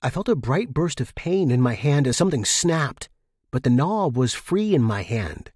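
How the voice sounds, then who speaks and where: Husky man narrating fiction, close and dry. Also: medium pitch, very animated, moderate pace, darkish tone, no room sound. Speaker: story narrator. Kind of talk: scripted first-person fiction narration.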